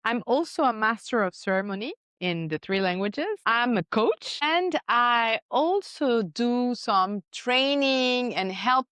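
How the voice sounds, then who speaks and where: A woman's voice: medium pitch, a bit bright, no room sound. Enthusiastic woman. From Spain. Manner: enthusiastic, storytelling, non-native English phrasing. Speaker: guest, professional speaker. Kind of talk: conversational interview.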